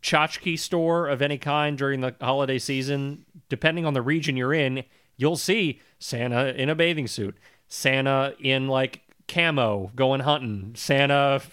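A man's voice: mid-range voice, a little slow, a bit bright, clean tone. A man moderating a casual comedy podcast, bantering in a wry, mock-serious way.

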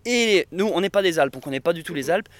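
A man's voice: high voice, lively intonation, fast, no echo. A man chatting casually in an interview, rambling with many fillers and restarts. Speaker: interviewee, traveler and videographer.

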